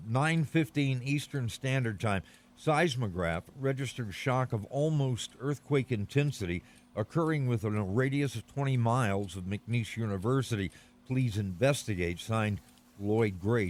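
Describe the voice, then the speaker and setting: An actor playing an eager, courteous radio commentator in a 1930s style radio drama, speaking in a formal announcer's manner. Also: male voice, lowish voice, normal speed, lightly acted. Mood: urgent, formal. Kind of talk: 1930s radio drama, live news interview.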